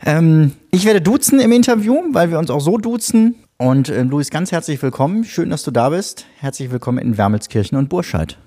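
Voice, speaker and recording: A man hosting a parish podcast, talking in a chatty, relaxed way with friendly asides. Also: fairly high pitch, a little fast, hardly any pauses. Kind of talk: casual podcast interview.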